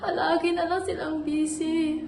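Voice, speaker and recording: Brief: young woman as a lonely, bitter daughter, very high voice, slow, in an echoey room with music. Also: little pitch movement, noisy background. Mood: wistful. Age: young adult. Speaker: student actor. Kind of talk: stage monologue.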